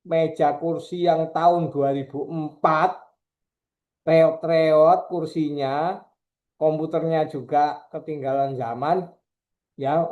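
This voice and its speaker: A man's voice: on the high side, lo-fi sound. A middle-aged male university lecturer, giving a chatty, digressive classroom lecture and teasing his students.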